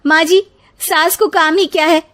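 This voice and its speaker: A woman's voice: very high-pitched, fairly even intonation, moderate pace, fairly bright tone, voice pushed up. A woman voicing a sarcastic, stubborn young daughter-in-law in a family story, with a taunting manner. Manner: sassy, taunting.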